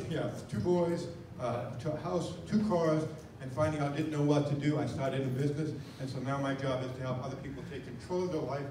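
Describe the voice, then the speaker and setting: Fast-talking life coach in an echoey room. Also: male voice, fairly high pitch. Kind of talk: short pitch to a meeting. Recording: echoey room, mic far off, noisy.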